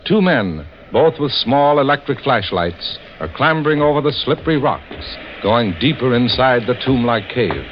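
A man's voice: medium pitch, expressive, moderate pace, a little hiss or hum. A man announcing and narrating a vintage radio drama in a formal, dramatic 1940s style. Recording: muffled old radio, music behind. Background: clear music.

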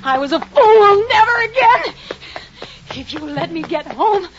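A woman's voice: extremely high pitch, expressive, measured pace. An actress playing a terrified young woman alone at night in 1940s radio drama style, breathless and melodramatic as she talks to herself. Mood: panicked pleading. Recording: noisy, muffled old radio recording.

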